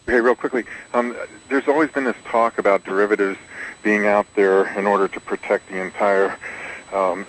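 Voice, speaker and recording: A very deep-voiced man from Nebraska phones into a radio show. He winds through a long, meandering question, with a faint hiss of noise behind him. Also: a bit bright, poor audio. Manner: rambling, long-winded question. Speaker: caller. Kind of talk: call-in question.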